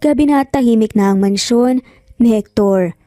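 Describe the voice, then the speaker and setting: A woman's voice: on the high side, normal speed, mellow, no room sound, studio quality. A woman narrating a romance story, acting out the dialogue as she goes. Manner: acts out dialogue between narrated lines. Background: background music.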